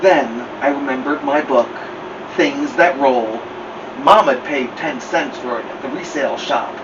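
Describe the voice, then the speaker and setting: A male storytime host reads a picture book aloud in an expressive, deliberate way, leaning on key words. He sits slightly away from the microphone. The sound is dull, with plain background noise. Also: mid-range voice. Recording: muffled, clear background noise, slightly distant.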